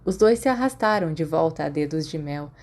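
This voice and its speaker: Brazilian woman reading an audiobook story, deep-ish mellow voice. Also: animated, fairly quick. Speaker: audiobook narrator. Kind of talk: scripted fiction narration.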